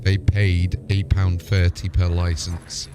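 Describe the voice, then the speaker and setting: Deep-voiced man streaming casually. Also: flat delivery, takes it slowly, background noise. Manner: informal, matey, sweary. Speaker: game streamer. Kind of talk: casual live stream chat, troubleshooting software.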